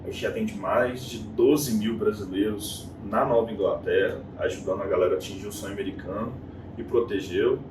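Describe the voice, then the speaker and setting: A Brazilian man in his thirties tells his story as an interview guest, acting out voices in quotes. He sits back from the mic in a reverberant room. A steady noise runs underneath. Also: lowish voice, a little fast. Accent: Brazilian Portuguese. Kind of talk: casual interview chat. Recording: echoey room, distant mic, noisy.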